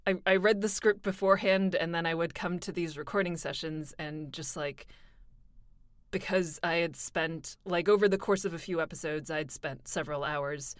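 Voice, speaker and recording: A woman's voice: lowish voice, fairly even intonation, normal speed, dry recording. A young adult woman, a voice actor, chatting casually in an interview, laughing often and poking fun at herself. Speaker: voice actor guest.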